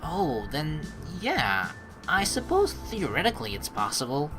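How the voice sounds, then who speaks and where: Playful lower-voiced young woman, lively delivery. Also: measured pace. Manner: playful, slangy, teasing. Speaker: let's play host. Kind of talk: casual game commentary mixed with reading narration aloud. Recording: faint game music behind the voice.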